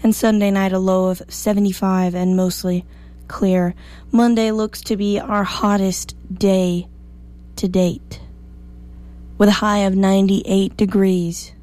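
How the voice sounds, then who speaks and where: A woman hosting a morning radio show, slightly hoarse, chatty and upbeat as she reads the weather. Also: mid-range voice, flat delivery, slow pace, mellow. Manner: chatty, upbeat, self-joking. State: a little hoarse.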